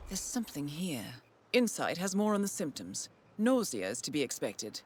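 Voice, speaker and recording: An actress playing a composed intelligence handler in a video game, giving formal, measured and precise mission briefings to an assassin. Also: female voice, deep voice, big pitch swings, slight background noise. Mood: calm, instructive. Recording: music playing loudly behind the voice.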